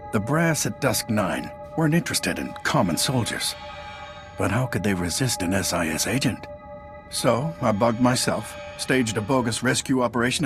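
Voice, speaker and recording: An actor playing a cynical, scheming intelligence agent in a video game, condescending and sardonic. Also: male voice, medium pitch, close to an everyday voice. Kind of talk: video game dialogue acting. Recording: music clearly behind the voice.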